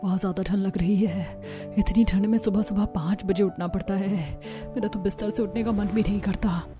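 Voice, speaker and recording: A woman's voice: medium pitch, steady tone, normal speed, music in the background, close to an everyday voice. Woman voicing a weary, grumbling young daughter-in-law. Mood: weary, reluctant. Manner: whiny, complaining, exasperated. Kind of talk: Hindi cartoon story dialogue.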